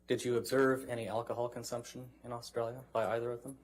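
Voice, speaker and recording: A man's voice: mid-range voice, flat delivery, fast talker. A man questioning a witness as a lawyer in a courtroom. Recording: noisy courtroom broadcast feed.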